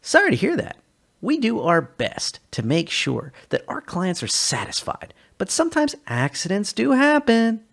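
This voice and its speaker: A man voicing a deadpan male clerk who puts on an unconvincingly cheerful tone. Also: unhurried, vocal fry, heavily acted. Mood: fake cheerful. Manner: falsely cheerful, lifted pitch.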